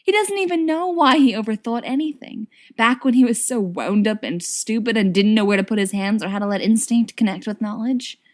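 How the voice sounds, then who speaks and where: A woman reading a story aloud as an expressive scripted narration. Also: on the high side, a little fast, few pauses. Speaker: audiobook reader of fan fiction.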